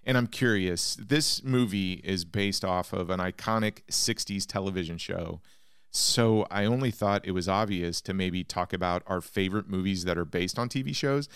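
Podcast host guy, lowish smooth voice, dry close mic, chatty and excited. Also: normal speed, no room sound. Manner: enthusiastic, rambling, asks guests questions. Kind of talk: casual podcast hosting.

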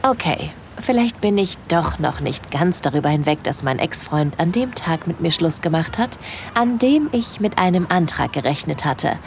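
A woman playing a shy, smitten young holidaymaker in an intimate first-person audio drama, breathy, confiding and easily flustered. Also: low voice, expressive, normal speed, slight background noise. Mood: dreamy, infatuated.

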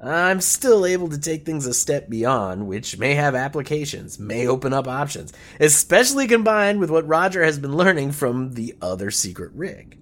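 An actor playing an eager, grandiose countercultural hacker in an audio drama, wordy and declamatory. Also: male voice, a little high, big pitch swings, normal speed, few pauses, fairly bright tone. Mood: grandiose, hopeful. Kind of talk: scripted audio drama acting.

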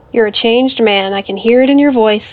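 An actress playing a wry, supportive older sister in an audio drama, heard through a phone filter. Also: female voice, fairly high pitch. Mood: teasing. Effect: telephone filter. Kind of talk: audio drama dialogue, naturalistic. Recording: thin, band-limited phone line.

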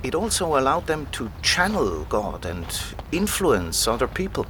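A man's voice: medium pitch, sing-song, normal speed, a bit bright, slight background noise. An actor playing a keen, patient male scholar who explains things like a storyteller in an audio drama. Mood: keen, explaining. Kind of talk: conversational audio drama dialogue.

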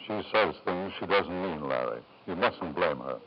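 A muffled old radio drama recording features a self-justifying, titled father. He is urbane and coaxing, pressing his points with lively rises and falls in pitch. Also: moderate pace. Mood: defensive. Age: middle-aged. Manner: urbane, insistent, repeats questions for emphasis. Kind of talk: 1950s radio drama acting. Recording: dull vintage radio recording.

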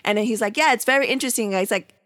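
A woman's voice: on the high side, very fast, a bit bright, dry recording, clean studio sound. A woman in her thirties from San Diego hosting a podcast, talking in a laid-back, slangy way and laughing often. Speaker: podcast host. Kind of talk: casual podcast chat.

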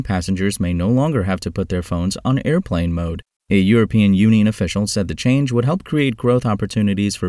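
A man's voice: on the low side, darkish tone, no echo, clean studio sound. A man reading scripted travel-style news briefs as a podcast host.

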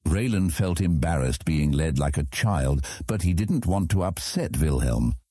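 A man narrates scripted fantasy fiction. His voice is very deep, dark and husky. The delivery moves freely in pitch as he tells the story. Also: expressive, measured pace, dry recording, studio quality.

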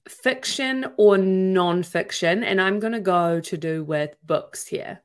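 A woman's voice: medium pitch, slow pace. A woman working as a podcast host, speaking in an effusive, encouraging way as she introduces and interviews.

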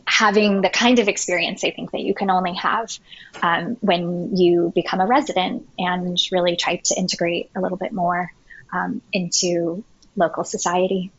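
Woman guest, lowish voice, earnest and filler-heavy, dull dry audio. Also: flat delivery, moderate pace, husky, no echo. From United States. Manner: many ums, friendly and earnest. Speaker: podcast guest. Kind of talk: casual interview talk.

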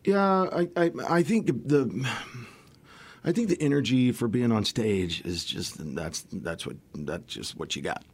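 Gravelly, road-tired man musician. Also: mid-range voice, animated, unhurried. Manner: rambling, restarts often, swears casually. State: tired raspy voice at the end of a tour. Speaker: musician guest. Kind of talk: casual interview chat.

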